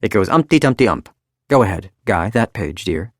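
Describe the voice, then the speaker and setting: A man's voice: fairly low pitch, expressive, moderate pace, no echo, clean studio sound, voice pushed up. A man doing a brittle, chattering wife. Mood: giddy.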